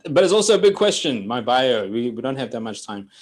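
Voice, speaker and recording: A man's voice: medium pitch, expressive, moderate pace, husky. A man in his forties from Greece, a guest on a podcast, telling his story in a chatty way and laughing often. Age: 40s. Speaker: podcast guest, coach and trainer. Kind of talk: casual storytelling interview.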